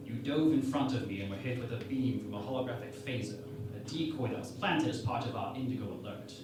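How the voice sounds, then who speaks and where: A man's voice: mid-range voice, brisk, background noise, close to an everyday voice. A man playing a self-assured, cheeky starship captain with grandly formal delivery in a sci-fi parody radio play. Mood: concerned, explanatory. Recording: echoey, distant, music underneath.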